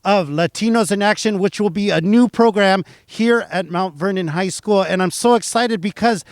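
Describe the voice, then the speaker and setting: A man of Salvadoran background interviewing a guest at a high school, excited and a little halting. His voice sits fairly high and the recording is close and dry. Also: dry recording. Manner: enthusiastic, stumbling restarts. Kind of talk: interview hosting.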